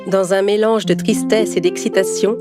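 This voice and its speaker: A woman narrates a children's story from a script. Her voice is medium in pitch, and loud music plays behind it. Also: fairly even intonation, moderate pace.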